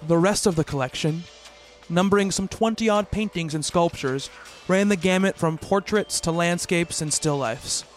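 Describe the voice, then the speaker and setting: Music plays softly behind a man acting as an earnest, bookish doctor in a radio play. His voice sits high for a man, and he tells things in a measured, literary, wistful way, like a devoted fiancé recalling his love. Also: natural voice. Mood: wistful narrating. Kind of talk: radio play narration and dialogue.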